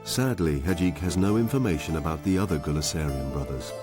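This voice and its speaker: A man narrating a documentary in a measured, even scripted delivery. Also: very deep voice, music in the background.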